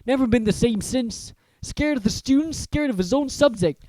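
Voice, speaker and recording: A reader voicing a huge, kindly groundskeeper with homely, rough-edged speech. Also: mid-range voice, expressive, moderate pace, mellow, no echo, voice pushed up, close to an everyday voice. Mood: cheerful. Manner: homely rustic speech, dropped grammar. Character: huge warm-hearted male groundskeeper, rough-spoken.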